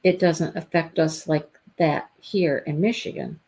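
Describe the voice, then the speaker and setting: A woman's voice: deep voice, steady tone, unhurried, a little mellow. A woman hosting a live stream, chatting casually with viewers by name and repeating her phrases, her voice stuffy and congested. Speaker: livestream host.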